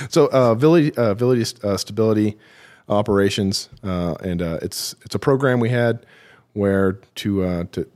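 A man being interviewed as a military veteran, telling his story haltingly with self-deprecating, dry humour. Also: on the low side, darkish tone. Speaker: podcast guest, military veteran.

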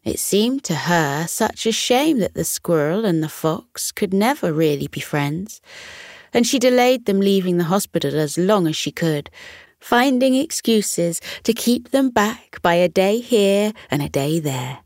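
A woman narrating a scripted children's bedtime story in a gentle, soothing manner. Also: medium pitch, expressive, a little slow, no room sound, clean studio sound.

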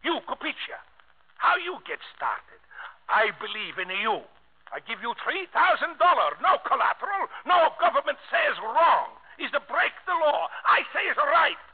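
A man's voice: very high voice, big pitch swings, moderate pace. A man playing a domineering old Italian immigrant father and banker in a 1950s radio drama, in emphatic broken English with an Italian accent. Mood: furious, shouting. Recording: tinny old radio broadcast recording.

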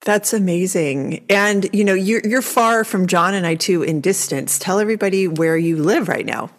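A woman's voice: mid-range voice, normal speed. Enthusiastic woman hosting a podcast. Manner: enthusiastic, gushing. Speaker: podcast host. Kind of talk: casual podcast interview.

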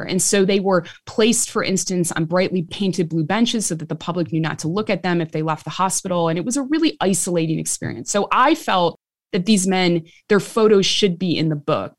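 A woman in her thirties with a Chicago accent, a medical historian and author explaining her work in an interview, lively and quick to laugh. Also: medium pitch, no echo, studio quality. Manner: lively, laughs easily, vivid storytelling.

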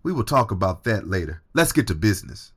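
Actor playing an animated, smitten male senator. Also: lowish voice, brisk, faint music behind. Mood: candid, defensive. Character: smitten, self-assured male senator fighting for common people. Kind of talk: audio drama acting.

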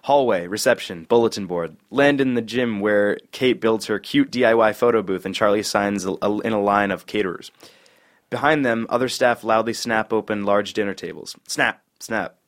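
A man's voice: lowish voice, moderate pace. A man reading stage directions at an informal screenplay table read in clipped, matter-of-fact phrases.

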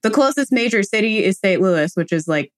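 A woman's voice: mid-range voice, animated, a little fast. An American woman chatting casually and informally as a podcast co-host, often dropping "like" into her sentences. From United States. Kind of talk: casual chat.

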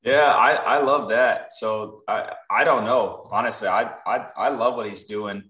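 A man's voice: fairly low pitch, unhurried, pushed and bright, far from the mic. A man co-hosting a sports podcast, chatting casually, often restarting words and joking. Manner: hesitant, repeats words, jokey. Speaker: podcast co-host. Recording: roomy echo.

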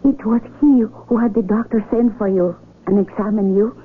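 An actress playing a devoted young sweetheart in a radio drama, tender, imploring and melodramatic. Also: female voice, medium pitch, steady tone, normal speed, narrow, tinny audio, slight background noise, close to an everyday voice. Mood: hesitant. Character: devoted young sweetheart, pleading gently to be married. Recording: thin, band-limited old radio recording.

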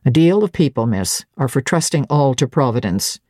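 A woman narrator plays a middle-aged servant woman from a classic storybook. Her voice sits very low and dark, with a husky edge. She is stolid and demure, measuring out each phrase with unruffled, secretive composure. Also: big pitch swings, moderate pace, no echo, studio quality, full character voice. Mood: stolid, composed. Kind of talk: dramatic audiobook reading.

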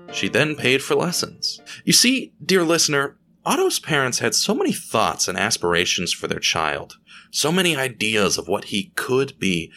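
A man narrating an audio drama in a deliberate, theatrical storytelling style, speaking straight to the listener. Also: medium pitch, a bit bright.

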